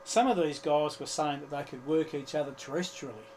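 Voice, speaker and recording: A man's voice: fairly high pitch, fairly quick, a little mellow. A man telling casual, chatty stories as a hobbyist guest, voicing bits of remembered conversation. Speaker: guest amateur radio hobbyist. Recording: clear background noise, live room ambience.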